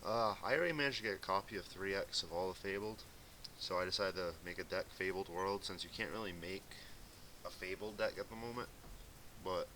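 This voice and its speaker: A man's voice: low voice, bright, forceful tone. A young man from Massachusetts with a light Boston accent, rambling casually through commentary on a card game deck with lots of fillers. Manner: rambling, filler-heavy, laid-back. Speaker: gaming video commentator. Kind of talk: casual commentary over gameplay. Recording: faint hissy home recording.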